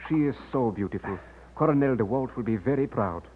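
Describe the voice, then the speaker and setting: An actor playing a courteous, excitable sea captain, florid and formal, in an old-time radio drama. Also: male voice, mid-range voice, a little fast, lightly acted. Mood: hearty, obliging. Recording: scratchy old radio, narrow muffled band.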